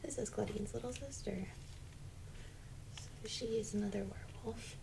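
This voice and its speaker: Hushed young woman, breathy ASMR, noisy background. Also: medium pitch, moderate pace. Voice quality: breathy, often near-whispered. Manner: hushed, chatty, slangy. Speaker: ASMR video host. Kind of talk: soft-spoken ASMR doll unboxing, casual chat. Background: loud noise, clicks and crinkles of unboxing.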